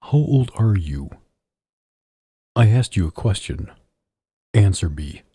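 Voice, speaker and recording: A man playing a domineering, sardonic mafia boss in an intimate ASMR roleplay, deliberate and commanding, deep and husky, close on a studio microphone. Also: big pitch swings, mellow, no echo, full character voice. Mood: stern, commanding.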